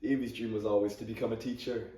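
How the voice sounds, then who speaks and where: A man's voice: fairly low pitch, level tone, fast, warm tone, natural voice. A young man playing an awkward, grieving male English teacher who stammers, repeats his words and gives nervous little laughs, in a dramatic monologue. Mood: tender. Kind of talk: competitive dramatic interpretation monologue. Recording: roomy sound from a distant camera.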